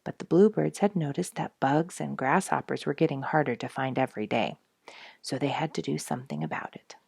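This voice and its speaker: Low-voiced woman reading a children's story. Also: fairly quick, vocal fry, darkish tone, slightly rough, no room sound. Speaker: storybook reader. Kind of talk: scripted children's story narration.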